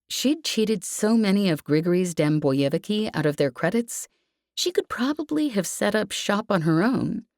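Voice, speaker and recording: A woman's voice: on the low side, darkish tone, no echo, studio quality. A woman reading fiction as a scripted audiobook narration.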